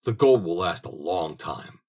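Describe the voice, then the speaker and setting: A man's voice: sits low, animated, unhurried, a little mellow, slightly rough, heavily acted. A man voicing a stern holy saint who scolds in old-fashioned speech, in an audiobook reading.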